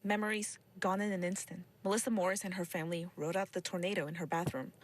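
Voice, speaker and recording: A woman's voice: fairly low pitch, steady tone, fast talker. A woman reporting for television news, reading a scripted narration.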